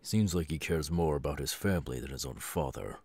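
A man playing a stern, weary middle-aged superhero father in an ASMR roleplay, with a deliberate, halting delivery. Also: rumbling low, a little mellow, breathy edge, no room sound, exaggerated voice. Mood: weary, earnest. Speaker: voice actor.

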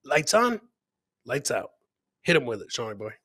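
A man's voice: mid-range voice, sing-song, moderate pace, pushed and bright, breathy edge, no room sound. A middle-aged man doing casual, jokey live commentary on video clips as a comedian host, often repeating his catchphrases. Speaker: comedian hosting a livestream clip show.

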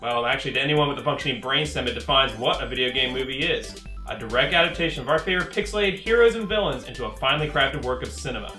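A man's voice: medium pitch, animated, quick pace, pushed and bright, soft background music. A man in his twenties narrating a scripted video essay as host, wry, sarcastic and profane, recorded in a small room at home. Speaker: host of a video game movie review show.

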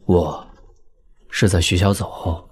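Low-voiced, brooding young lord. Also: male voice, fairly even intonation, slow pace, breathy edge, heavily acted. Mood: sorrowful, reflective. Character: young lord, brooding elder brother, weary and tender. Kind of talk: audio drama dialogue in Mandarin wuxia serial.

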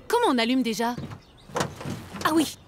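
Casting: cheeky motorcycle-loving woman, animated series, high, brisk voice. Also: noisy background. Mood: eager. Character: bold woman, motorbike enthusiast. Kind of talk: French cartoon acting.

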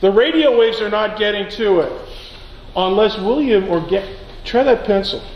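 A man's voice: high voice, normal speed, dull audio. A man teaching science through an informal demonstration, giving short instructions in a lecture hall. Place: large lecture auditorium. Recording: echoey lecture hall, some background noise.

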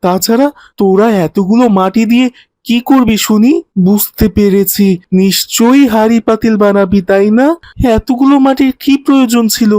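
A woman's voice: on the high side, moderate pace, warm tone, dry recording, close to an everyday voice. A woman voicing a cheeky, unhelpful child in a children's cartoon, mocking and grumbling.

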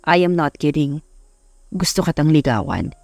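A woman's voice: deep voice, very animated, normal speed, gravelly, darkish tone, no room sound, soft background music, voice pushed down, heavily acted. Young male executive, commanding, smitten, direct, for romantic audio drama; woman performing, dry close sound. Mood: serious, smitten. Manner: blunt, commanding, serious. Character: stern, protective young male CEO, smitten and blunt.

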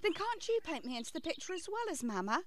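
Playful, high-voiced girl acted by a woman. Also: sing-song, fast talker, a crowd in the background. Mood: playful, teasing. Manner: giggly, teasing, sing-song. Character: playful, affectionate young daughter of a painter. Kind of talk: radio play acting. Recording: noisy, with clicks and birdsong.

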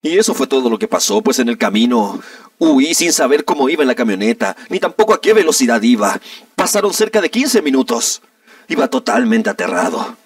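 Man acting melodramatic wronged young man, swooping pitch. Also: very animated, a little fast, dry recording, studio quality, recorded from a distance. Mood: agitated recounting. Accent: Latin American neutral dubbing Spanish. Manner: melodramatic, indignant, dubbed-film diction. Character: aggrieved young man recounting a humiliation to reporters. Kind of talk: amateur comedic dub of a news interview.

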